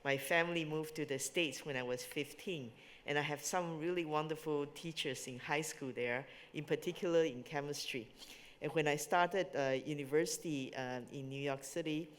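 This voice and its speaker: A woman's voice: deep voice, moderate pace, long reverb tail. A woman from Singapore and Taiwan, a scientist on a symposium panel, telling her story in a fluent, composed way. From born in Taiwan, grew up in Singapore. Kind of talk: panel answers.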